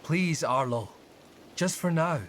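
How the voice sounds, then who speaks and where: Earnest young druid, slow-paced. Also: male voice, a little high, a bit bright, slight background noise. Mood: reassuring. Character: earnest young male druid, studious and unsure. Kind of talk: video game dialogue acting.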